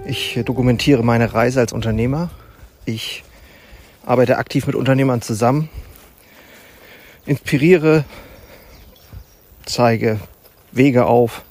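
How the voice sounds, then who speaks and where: A man hosting his own podcast, talking reflectively while walking, unhurried and thoughtful with pauses and fillers. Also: mid-range voice, fairly even intonation, a little mellow. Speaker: podcast host. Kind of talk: reflective solo podcast monologue. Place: outdoors in a forest. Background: faint forest ambience and animal sounds.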